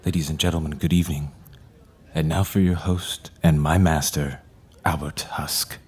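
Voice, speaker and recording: A solemn butler announces in an extremely deep, husky voice. He is formal, servile and ceremonious, in the manner of an audio drama retainer. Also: fairly even intonation, moderate pace, a little mellow.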